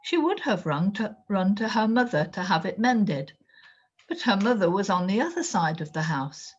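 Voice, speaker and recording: A woman reading a children's story aloud in an expressive storytelling style. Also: mid-range voice, normal speed, slightly rough, dull audio. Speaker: storyteller, reader.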